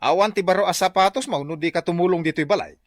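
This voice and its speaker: A man playing a bossy, excitable husband and father in an Ilocano radio drama, with a commanding manner. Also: high voice, fast talker, no echo, lightly acted. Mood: stern. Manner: commanding, swings from muttering to yelling.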